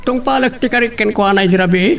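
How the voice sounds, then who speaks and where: An actor with an Ilocano accent playing a boastful, flirtatious well-off boss and husband in a radio drama. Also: male voice, sits high, fast talker, vocal fry, recorded from a distance, faint music behind.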